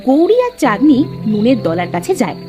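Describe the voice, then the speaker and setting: A woman's voice: fairly high pitch, big pitch swings, brisk, music in the background. A woman narrator reading a scripted folk story for a cartoon.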